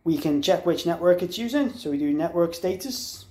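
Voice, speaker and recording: A man's voice: fairly high pitch, expressive, normal speed, a little mellow. A man presenting an informal, unscripted product tutorial, explaining step by step and spelling out letters and digits. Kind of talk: instructional walkthrough, informal and unscripted. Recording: echoey, roomy sound.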